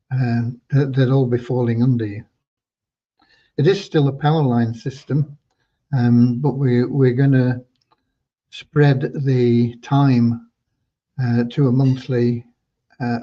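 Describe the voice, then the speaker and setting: A slightly hoarse man hosting an informal livestream update for members, talking in a rambling, halting way with hesitant repeats. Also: mid-range voice, mellow. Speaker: host giving business updates to members. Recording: dull, lo-fi online audio.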